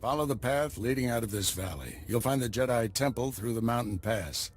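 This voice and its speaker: An actor playing an older male Jedi Master in a video game, with a calm, reassuring manner. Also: mid-range voice, a little fast, slight background noise, lightly acted. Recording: game cutscene with music behind.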